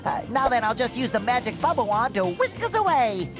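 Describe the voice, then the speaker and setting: A high, squeaky, nasal cartoon sea sponge, childlike and eager. The voice swings widely in pitch and stays bubbly and earnest, with music playing behind it. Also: male voice, very animated, a little fast, full character voice. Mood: earnest, apologetic. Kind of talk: cartoon video game acting.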